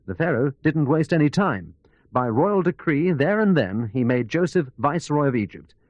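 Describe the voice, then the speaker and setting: A man's voice: mid-range voice, darkish tone, dry recording. A man playing a radio news correspondent, narrating a scripted background report in a formal, articulate storytelling manner. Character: male news correspondent recounting a biography for radio.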